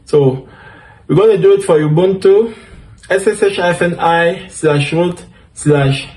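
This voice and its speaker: A man whose first language is French, hosting a tech tutorial, unhurried and upbeat, thinking aloud with fillers and self-corrections. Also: high-pitched, darkish tone. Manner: upbeat, keeps saying things are awesome. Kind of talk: screen-recorded coding tutorial.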